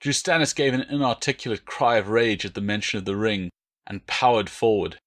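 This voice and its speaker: A man's voice: fairly low pitch, a little fast, a bit bright. A man reading his own novel as a dramatic, scripted audiobook narration.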